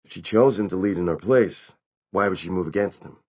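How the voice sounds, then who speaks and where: A man voicing a grave, measured adult werewolf pack leader in an audiobook story, speaking in a neutral, serious tone. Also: sits low, slightly rough, dull audio. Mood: calm, questioning.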